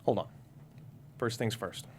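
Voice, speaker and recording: A man's voice: a little slow, warm tone, a little hiss or hum, lightly acted. An actor playing a self-assured businessman in his thirties in a script table read. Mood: confident. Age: 30s.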